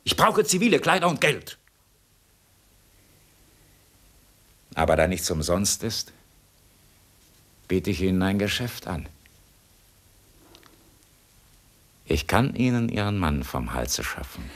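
An actor playing a cool, sardonic escaped convict in a German radio crime drama, mocking and coaxing with cunning politeness. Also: male voice, deep voice, very animated, normal speed, a bit bright, heavily acted. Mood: impatient, commanding.

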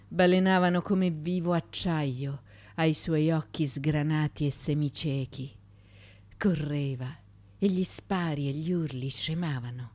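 A woman giving an intense, theatrical literary reading for radio theatre, phrasing deliberately with pauses. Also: deep voice, dark tone, no echo. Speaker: actress reading literary monologue. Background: music.

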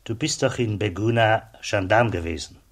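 Low, husky kindly customs man, acted by a man. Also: moderate pace, lightly acted. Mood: curious. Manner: simple, friendly, familiar. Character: kindly, plain-spoken, devout border customs officer. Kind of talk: German radio drama acting.

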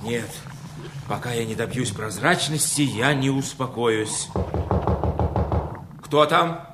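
An actor playing a fussy, stubborn alchemist's servant in a fairy-tale audio play, muttering deliberately. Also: male voice, medium pitch, close to an everyday voice. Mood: gruff, wary. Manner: deliberate, muttering to himself while working. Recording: echoey, distant, clearly noisy background.

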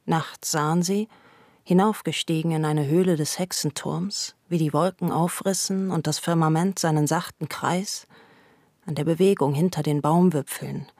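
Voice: medium pitch, moderate pace, gravelly, darkish tone, no echo. Dry, close literary narrator. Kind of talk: scripted literary narration for a radio play.

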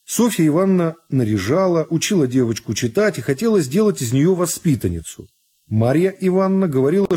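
A man narrating a literary story for a radio drama in a measured, phrase-by-phrase storytelling manner. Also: a little high, warm tone, husky. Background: music.

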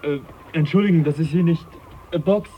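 A man playing a polite, reserved young English naturalist in a German radio play, measured and understated. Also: fairly high pitch, muffled recording, slight background noise, close to an everyday voice. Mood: polite, wary. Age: young adult. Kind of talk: German radio play acting with diary-like narration.

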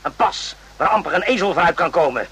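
An actor playing a stern, sardonic superior officer in a radio play, curt and commanding, with sarcastic repetitions. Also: male voice, sits high, lively intonation, a little fast, a bit bright, breathy edge, exaggerated voice. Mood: accusing, stern.

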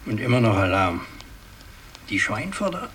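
An actor playing an imperious, scheming old aristocratic patriarch in a radio drama, declamatory and halting, moving between command and muttering. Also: male voice, on the low side, sing-song, fairly bright tone, slight background noise. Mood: subdued. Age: older. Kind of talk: 1960s German radio drama acting.

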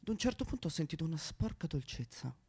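A man's voice: fairly high pitch, very animated, quick pace, creaky, a little mellow, husky, heavily acted. A male actor playing a bitter, self-loathing misanthropic man in a histrionic theatrical comic monologue. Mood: hushed, confessional. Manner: histrionic, swinging from muttering to outbursts with spitting noises. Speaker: stage monologue performer.

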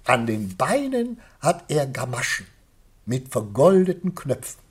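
An actor playing a middle-aged, effusive artist father in a theatrical radio play, declaiming grandly in a husky voice. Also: medium pitch, big pitch swings, a little slow. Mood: rapturous pride. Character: proud, rapturous father Ambrosius, besotted with his artwork.

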